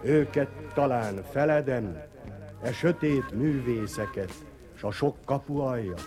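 A man recites dramatic poetry in a theatrical, weary and brooding manner, voicing a bitter old clock that has kept watch for centuries. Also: medium pitch. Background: music.

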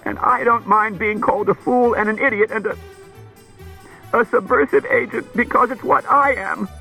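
A man voicing a frightened, tearful wife, breathy and quavering, pleading in halting bursts as in an audiobook. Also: high-pitched, bright, forceful tone, faint music behind, voice pushed up, exaggerated voice, rough recording. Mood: tremulous. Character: distraught tearful woman, frightened wife confessing.

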